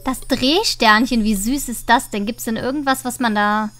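A woman with a cold chats through a game playthrough, joking and thinking out loud. Her voice is high and bright, with the game's music playing softly behind her. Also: moderate pace. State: has a cold. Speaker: let's play host. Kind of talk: casual gameplay commentary.

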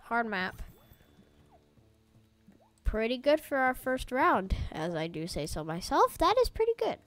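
A woman's voice: on the high side, big pitch swings, unhurried, a bit bright, faint music behind. A woman gaming YouTuber giving casual, rambling let's-play commentary, talking straight to her viewers, her voice a little off from allergies. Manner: chatty, rambling, talks to viewers as "guys". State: slightly off voice from allergies.

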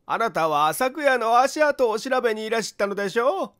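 A storybook character, acted out by a male narrator: an evasive, chatty man who is easily startled. His voice is high and animated, pushed into loud, exaggerated cheer. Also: brisk, full character voice. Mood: evasive, breezy.

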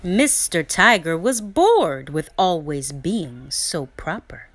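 Very slow, expressive woman storyteller. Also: deep voice, no room sound. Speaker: read-aloud storyteller. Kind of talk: expressive children's picture-book narration.

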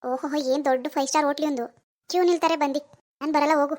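High-voiced, scheming young wife played by a woman. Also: fairly even intonation, fast, darkish tone, close to an everyday voice. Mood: dismissive. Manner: sly muttering alone, whiny playacting to others. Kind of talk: amateur domestic drama acting.

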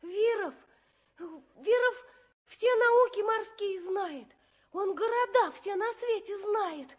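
An actress playing an eager, naive little girl, halting and excitable, in a 1960s radio drama. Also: extremely high pitch. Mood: earnest, pleading.

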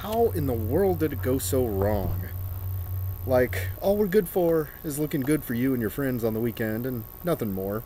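A man in his thirties performs a mock-dramatic reading, theatrical and deliberate, with drawn-out phrases and pauses, recorded outdoors on a camera. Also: mid-range voice, big pitch swings, mellow, a little hiss or hum. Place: outdoors in a snowy residential yard.

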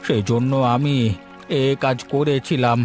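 A man's voice: medium pitch, fairly even intonation, measured pace, soft background music, close to an everyday voice. A man voicing a poor old village fisherman and grandfather in a cartoon, who speaks plainly and wearily. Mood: remorseful. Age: older. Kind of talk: Bengali cartoon voice acting.

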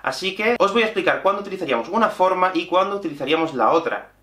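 A man, teaching in an animated online lesson. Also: high-pitched, racing. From Spain. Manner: animated, gestures constantly while explaining. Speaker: Spanish teacher. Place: small room with bookshelf and whiteboard. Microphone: camera at mid-shot distance. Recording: echoey small room.

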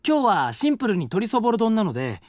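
An actor playing a polite, gentle young college student who cooks and patiently explains recipes. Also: male voice, sits high, huge pitch swings, fast talker, no echo, clean studio sound. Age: young adult. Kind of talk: studio audio drama. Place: dry studio.

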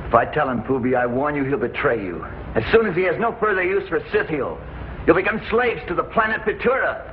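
An actor playing a defiant, earnest young space hero in a 1950s television serial, speaking firmly and tersely. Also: male voice, fairly high pitch, animated, brisk, a little hiss or hum, lightly acted. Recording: muffled, thin 1950s TV soundtrack, music behind.

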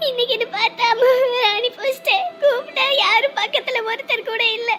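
A woman's voice: extremely high pitch, fairly even intonation, a little fast, sharp tone, slight background noise. An actress playing a young pregnant daughter, alone and crying in pain as she pleads for her mother in a melodrama. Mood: tearful, helpless. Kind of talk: melodramatic film or serial acting.

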